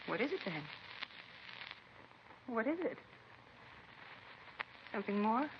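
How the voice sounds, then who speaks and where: An emotional, insistent mother pleads in a slightly high voice. The performance is from an old radio drama, with dulled, noisy sound. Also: big pitch swings, takes it slowly, full character voice. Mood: anxious, insistent. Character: small, greying, anxious and grief-stricken mother. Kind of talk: 1950s radio drama acting. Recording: dull, noisy vintage radio recording.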